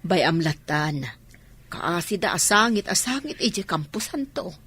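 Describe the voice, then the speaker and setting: A woman's voice: on the low side, expressive, measured pace, a bit bright, husky, exaggerated voice. A woman with an Ilocano accent playing a compassionate village aunt in a radio comedy drama, pleading and reproving as she talks. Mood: pleading, reproachful.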